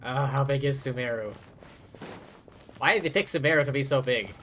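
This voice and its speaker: A man's voice: medium pitch, moderate pace, fairly bright tone, slight background noise. A man streaming a video game, commenting casually and muttering asides to himself as he plays. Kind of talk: live game commentary mixed with reading dialogue aloud. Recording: game music behind the voice. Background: video game music.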